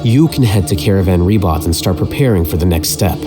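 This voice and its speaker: A man voicing a calculating young scholar in a video game, measured, aloof and matter-of-fact. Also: low voice, expressive. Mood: aloof, explanatory. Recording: clear music behind the voice.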